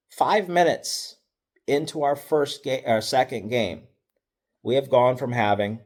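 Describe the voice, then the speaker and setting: A middle-aged man, a football coach, telling stories from past seasons in a relaxed, wry, matter-of-fact way, with fillers and self-corrections. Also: medium pitch. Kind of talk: casual storytelling.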